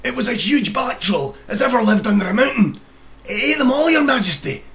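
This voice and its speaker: A man acts out a frightened soldier from a storybook, pleading for his life. The voice is high and bright, swooping with panic. He is deferential to his king and stammers. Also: lively intonation, brisk, full character voice. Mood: fearful, pleading. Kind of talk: storybook character reading.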